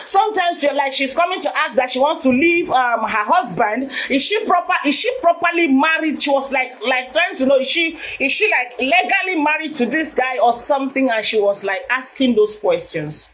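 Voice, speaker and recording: Nigerian woman live-streaming: naturally loud, high voice, presses her points hard. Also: moderate pace, hardly any pauses. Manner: emphatic, repeats herself. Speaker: vlogger. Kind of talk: Facebook live video.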